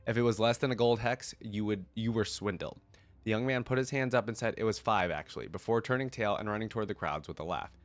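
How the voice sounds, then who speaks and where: A man's voice: on the low side, fast, no room sound, faint music behind. A man with a congested, cold-affected voice, reading a story aloud and breaking off into rambling, casual commentary as a reaction video host. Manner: rambling, thinking aloud in asides.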